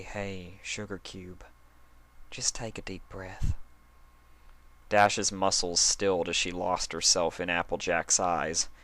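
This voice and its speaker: A man's voice: low-pitched, a bit bright, dry recording. A man reading fan fiction aloud as dramatic story narration.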